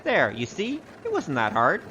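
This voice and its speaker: A man's voice: fairly high pitch, huge pitch swings, moderate pace, sharp tone, highs cut off, slight background noise, heavily acted. A male actor playing a roguish, wry storyteller with a grandiose, theatrical manner in a comic audio drama. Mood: sardonic. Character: roguish, boastful storyteller, wry poor adventurer. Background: music.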